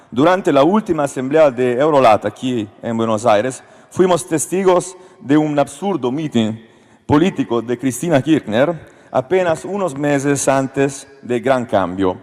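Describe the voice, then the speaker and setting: A man's voice: medium pitch, a little mellow. An Italian man with an Italian accent, a non-native speaker reading a prepared speech at a forum carefully and with slight halts. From Italy. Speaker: member of European Parliament, panel speaker. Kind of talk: prepared speech read aloud. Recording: long reverb of a conference hall.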